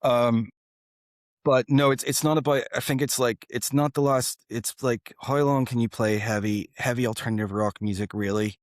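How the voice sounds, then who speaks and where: A man's voice: mid-range voice, fairly even intonation, normal speed, no room sound, studio quality. A man in his thirties chatting casually as an interview guest, earnest and informal, often rambling and breaking off phrases to start again. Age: 30s. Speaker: guest, rock band singer and guitarist.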